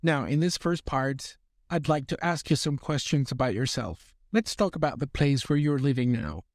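A man's voice: mid-range voice, expressive, normal speed, dry recording, studio quality. A man acting as an oral exam examiner, reading structured questions and instructions formally.

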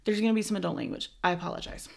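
A woman's voice: mid-range voice, fairly even intonation, very fast, vocal fry, darkish tone. Chatty woman hosting a podcast. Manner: chatty, giggly, many "like" and "um" fillers. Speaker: podcast host. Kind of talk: casual podcast chat.

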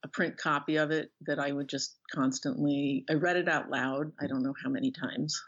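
A middle-aged American woman being interviewed as an author, speaking thoughtfully and haltingly as she searches for words. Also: deep voice, steady tone, husky, dull audio. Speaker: interview guest, author and professor. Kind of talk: reflective interview conversation.

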